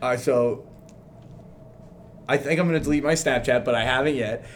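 A man's voice: medium pitch, normal speed. A young man co-hosting a casual podcast, crude and rambling as he tells stories, often laughing. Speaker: podcast co-host. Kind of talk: casual chat. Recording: faint background noise.

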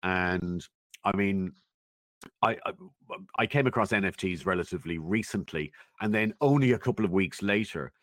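A man giving considered opinions as a guest in a conversational interview, thoughtful and measured, often pausing as he goes. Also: low-pitched, fairly bright tone, dry recording, clean studio sound. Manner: thoughtful, measured, halting with pauses. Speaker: interview guest, technology commentator.

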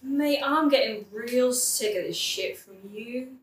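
A woman's voice: on the high side, takes it slowly, recorded from a distance. A woman playing a weary, skeptical, drunken gravedigger sidekick, blunt and questioning, in a comic audio drama. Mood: exasperated. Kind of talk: modern-language comic audio drama acting. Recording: echoey, noisy, off-mic.

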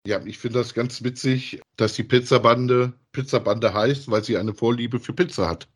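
A man's voice: mid-range voice, fairly even intonation, normal speed, slightly rough. A man co-hosting a podcast, chatting casually and with some amusement as he reviews audio dramas. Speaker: podcast co-host.

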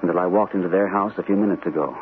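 Actor as a deep-voiced, shaken army captain. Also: male voice, racing, faint music behind, lightly acted. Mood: shaken, insistent. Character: troubled army captain, a sanitarium patient, earnest and shaken. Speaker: radio actor, also narrating in character. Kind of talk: old-time radio mystery drama acting. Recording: old radio broadcast, narrow tinny band, hissy.

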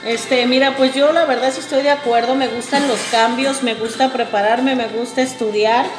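A woman co-hosts a relaxed talk show, teasing her partner playfully. Her voice is a little higher than most women's and echoes in a roomy space. Music plays clearly behind her. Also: normal speed. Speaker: co-host. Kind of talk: casual panel discussion.

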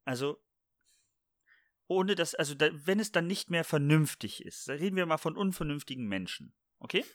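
A man's voice: a little high, expressive, moderate pace, no echo, studio quality. A man chatting casually as a podcast co-host, joking and rambling with frequent restarts. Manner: playful, jokey, rambling with many restarts. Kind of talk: casual chat.

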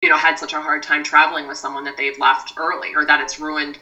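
Woman guest, low and bright, a little off-mic, measured advice-giver. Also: level tone, recorded from a distance, highs cut off. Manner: measured, advising, with many "you know" asides. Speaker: mindfulness expert guest. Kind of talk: podcast conversation.

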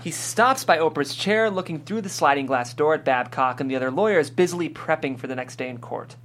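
A woman's voice: low-pitched, brisk. A woman reading scene directions as scripted narration at a table read. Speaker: stage-direction reader.

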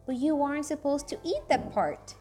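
A woman voicing Benji, a gentle, clever new toy in a children's storybook, in a soft, earnest way. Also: sits high, expressive, normal speed, faint music behind, pitched above the performer's own voice. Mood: concerned.

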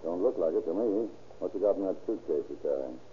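An actor playing a streetwise, needling police detective in a 1930s radio drama, talking in casual wisecracks. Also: male voice, sits low, lively intonation, fast. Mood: wry needling. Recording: tinny, noisy old radio recording.

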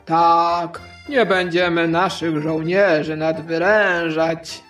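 A woman's voice: lowish voice, flat delivery, takes it slowly, fairly bright tone, soft background music, pitched below the performer's own voice. A woman voicing a smug, chummy, scheming middle-aged male prime minister in a satirical audio play for children. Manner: pompous, self-satisfied, dragging words.

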